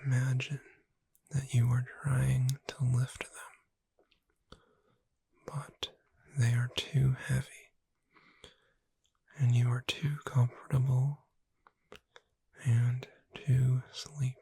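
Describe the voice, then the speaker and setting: A woman playing a gentle witch bookseller in a breathy, soothing, whispered ASMR sleep roleplay. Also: extremely low pitch, little pitch movement, dry recording, exaggerated voice. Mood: calm, soothing. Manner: slow, soothing, deliberate. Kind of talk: soft-spoken ASMR sleep roleplay, guided relaxation.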